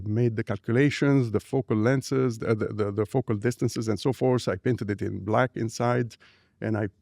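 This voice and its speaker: A man in his fifties from Egypt with an Egyptian accent, a scientist guest talking in an interview, hesitant with many fillers and restarts, in a dark studio at a boom microphone. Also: creaky, no echo. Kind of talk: interview conversation. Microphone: boom microphone on arm.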